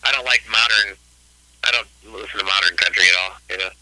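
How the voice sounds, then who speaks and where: A man's voice: fairly low pitch, quick pace, bright, forceful tone, no echo, low-quality recording. A man in his late forties, a guest musician chatting casually in an interview, rambling and laid-back with many fillers. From Ohio, US. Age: 40s.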